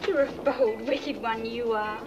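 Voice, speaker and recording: A woman's voice: a little high, fairly even intonation, measured pace, close to an everyday voice. An actress playing a cheeky, flirtatious working-class woman with a British accent, playful and saucy, in a horror-comedy film. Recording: muffled distant film sound, music behind.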